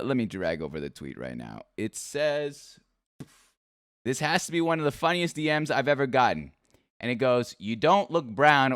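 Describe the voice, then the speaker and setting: A man's voice: medium pitch, a bit bright, no echo, clean studio sound. A man hosting a podcast, upbeat and joking, swearing freely and talking to his guest like a pal. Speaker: podcast host. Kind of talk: casual podcast interview.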